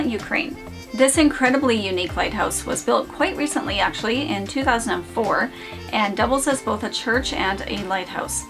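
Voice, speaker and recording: Woman in her forties, fairly high voice, bright scripted narration over clear background music. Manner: upbeat, enthusiastic descriptive narration. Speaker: host of a lighthouse channel.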